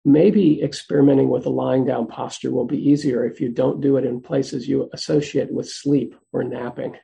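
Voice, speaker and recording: A soft-spoken man teaching meditation, giving gentle, unhurried instructions with frequent pauses. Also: mid-range voice, steady tone, creaky.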